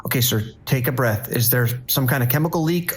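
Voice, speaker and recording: A man's voice: mid-range voice, fairly quick, slightly rough, natural voice. A man playing a harried, commanding New York police dispatcher in an audio drama, giving urgent, clipped orders. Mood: controlled, firm.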